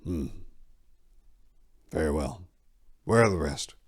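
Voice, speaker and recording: Man playing a stern, low-voiced warrior monk. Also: lively intonation, slow, husky, exaggerated voice. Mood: stern, skeptical. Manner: terse, grave, pressing. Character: stern, skeptical warrior monk questioning his brothers. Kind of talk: dramatic storybook reading.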